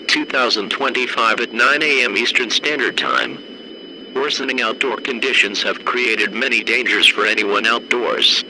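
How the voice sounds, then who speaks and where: Male emergency-broadcast announcer, acted: formal, flat, measured, stern, over clearly noisy radio-style audio. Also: mid-range voice, natural voice. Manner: measured, deadpan, clipped official delivery.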